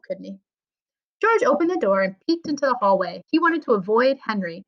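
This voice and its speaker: A woman, a school librarian, reading a children's story aloud with expressive storytelling. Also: on the high side, muffled recording.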